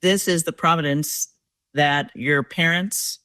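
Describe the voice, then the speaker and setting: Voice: medium pitch, fairly even intonation, pushed and bright, no echo. An executive coach hosting an interview, guiding the guest with questions and reading captions aloud. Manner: guiding, reads captions aloud, pauses to check pronunciation.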